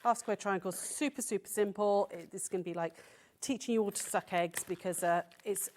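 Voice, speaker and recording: A woman with a croaky voice from a cold, demonstrating quilting step by step on a live show. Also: mid-range voice, fairly quick, darkish tone. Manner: patient step-by-step instruction with frequent "okay?" checks. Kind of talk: sewing demonstration. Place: TV studio.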